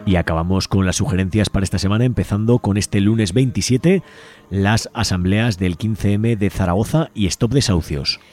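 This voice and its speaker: A man's voice: lowish voice, normal speed, a little mellow, faint music behind. A man with an accent from Spain, hosting a community radio show and reading out event listings. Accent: Spanish from Spain.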